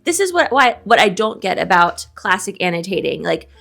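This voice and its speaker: A woman talks casually with a friend as co-host of a show, giving opinions with emphasis and questions. Her pitch moves in a lively, animated way around an average register. Faint music plays along with her voice. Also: normal speed, vocal fry. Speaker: co-host of a book discussion show; librarian.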